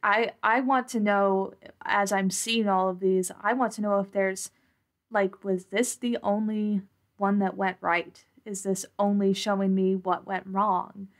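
A woman's voice: medium pitch, fairly even intonation, measured pace. A woman playing a tabletop roleplaying game, improvising as she speaks to the game master.

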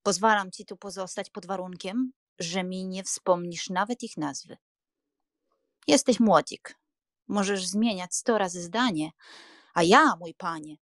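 A woman's voice: medium pitch, no room sound, clean studio sound, lightly acted. A woman playing a proud, stern grandmother of seventy with an imperious, scolding manner in an acted audiobook.

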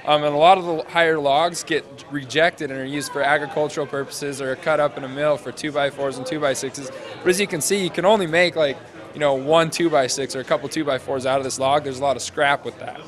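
A man in his twenties, a student interviewee, explaining a project off the cuff in casual, halting phrases into a handheld mic. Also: on the high side, fairly even intonation, a bit bright. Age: 20s. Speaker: interviewee, senior engineering student presenting a project. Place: large glass-walled university hall or lobby. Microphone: handheld interview microphone held out to him.

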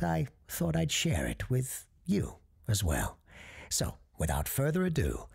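A man playing a genial parapsychologist radio host who tells Christmas ghost stories in a husky, creaky, measured, genteel voice. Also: low-pitched, a little mellow, full character voice. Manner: genteel, measured storyteller's delivery. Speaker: host and storyteller of an audio fiction show. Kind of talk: scripted storytelling, radio-show monologue.

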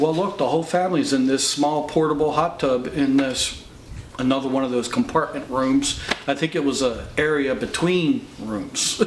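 A middle-aged man in a documentary interview, telling a family story in an animated way. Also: on the high side, reverberant, a little hiss or hum, low-quality recording. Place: home office with bookshelf.

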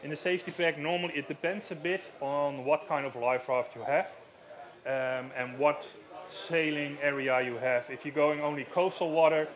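A man in his thirties with a Dutch accent, giving a live stage demonstration in a marquee on a headset mic, explaining plainly with many fillers. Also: medium pitch. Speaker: safety equipment rep. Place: large marquee at outdoor show.

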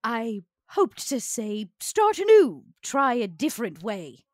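A woman's voice: fairly high pitch, big pitch swings, takes it slowly, no echo, studio quality. A woman playing a poised, courteous vampire in a roleplay game, choosing her words formally and carefully. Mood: reluctant, careful. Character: poised, courteous vampire, a weary retired enforcer. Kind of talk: tabletop roleplay acting.

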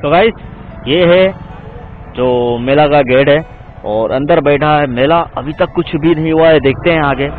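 A man's voice: on the high side, moderate pace. Chatty young man vlogging from a motorbike. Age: young adult. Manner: chatty, keeps saying "guys", pleads for support. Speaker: motovlogger. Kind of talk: casual ride commentary. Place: outdoors riding a motorcycle on country roads. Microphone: action camera on the bike. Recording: tinny phone-like sound with music behind.

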